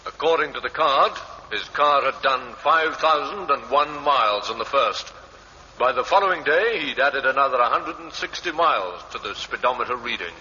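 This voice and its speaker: A matter-of-fact police inspector. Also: male voice, medium pitch, lively intonation, moderate pace, sharp tone, in a large hall, lo-fi sound, a little hiss or hum. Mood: deliberate. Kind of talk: 1950s radio drama acting.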